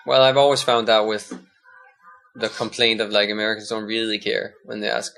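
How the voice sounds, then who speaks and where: A man's voice: lowish voice, fairly even intonation, normal speed, fairly bright tone. A young Danish-born man as a podcast guest, talking in a loose, hesitant way with many restarts. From Denmark. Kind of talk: casual conversation.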